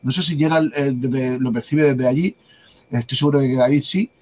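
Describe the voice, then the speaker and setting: A man with a light Andalusian accent, a guest talking at length in a rapid, discursive way and tagging on questions. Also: medium pitch, a little mellow, husky. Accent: light Andalusian Spanish. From Andalusia, Spain. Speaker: guest, publisher and author. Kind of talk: conversational interview answers.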